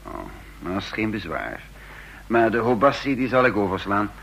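A genial, humming gentleman. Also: lowish voice, moderate pace, gravelly, slightly rough, full character voice. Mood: genial, relaxed. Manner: relaxed, genial, musing with hums. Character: genial male spy posing as gentleman. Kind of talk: vintage radio drama acting.

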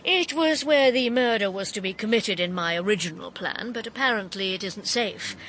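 An actress playing a gossipy, brisk, wry middle-aged woman with an upper-class English accent in a radio drama. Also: medium pitch, fairly bright tone, a little hiss or hum, lightly acted. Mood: chatty, enthusiastic. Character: chatty, scatty, good-humoured English lady crime novelist.

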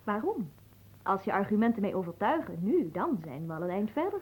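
A woman's voice: mid-range voice, very animated, fairly quick, a little mellow, breathy edge. A woman playing a capricious, oversensitive young noblewoman in a comic radio play, theatrical and haughty in manner. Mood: mocking, coquettish. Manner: theatrical, haughty, swooping between teasing and wailing.

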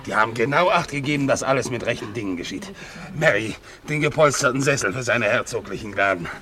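A man's voice: mid-range voice, lively intonation, quick pace, bright, forceful tone. An actor playing a servile, crafty father who bustles around noble guests in a German radio play. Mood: eager, obsequious. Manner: obsequious, bustling. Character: servile, crafty father showing off his medium daughter.

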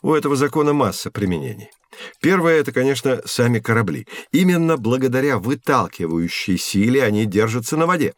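A man playing a patient, genial science professor who explains in a measured, didactic way in a scripted educational audio drama. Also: medium pitch, very animated, no echo, close to an everyday voice. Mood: explaining.

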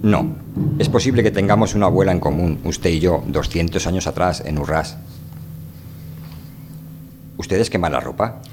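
A man playing a wary, stubborn visiting scientist from another world in a radio drama, halting and questioning. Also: sits low, background noise, lightly acted. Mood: earnest, frank. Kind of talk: amateur radio drama reading.

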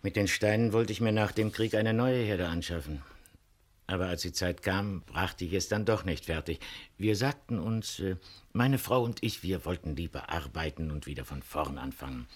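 Tiefer, heiserer alter Bauer. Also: male voice, sits low, moderate pace. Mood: contrite. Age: older. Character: earnest older Dutch farmer, man, contrite and homely. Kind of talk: radio crime drama acting.

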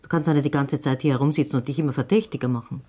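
A commanding woman who runs a theatre in a radio drama. Her voice is deep and dark. She is blunt and sardonic, needling whoever she talks to. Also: fairly even intonation, very fast. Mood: blunt, scolding. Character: bossy, sardonic female theatre boss, teasing and blunt.

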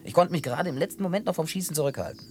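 A man acting in a German radio play as a gruff, hot-headed but harmless drifter who tells things in a colloquial, grumbling way. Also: fairly high pitch, racing, slight background noise, natural voice. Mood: wry, reminiscing.

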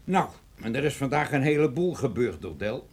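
Husky-voiced investigator in a radio play, suspicious, needling, always insinuating something. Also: male voice, mid-range voice, sing-song, normal speed, a little mellow. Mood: insinuating, stern. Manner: insinuating, interrogating. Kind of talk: radio drama acting.

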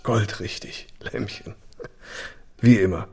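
A man playing a worldly, roguish ex-convict with a soft spot in a radio drama, talking casually in slang with a husky, gravelly voice. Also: fairly low pitch, steady tone, slow, over loud music. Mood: amused, affectionate. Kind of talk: naturalistic radio drama acting.

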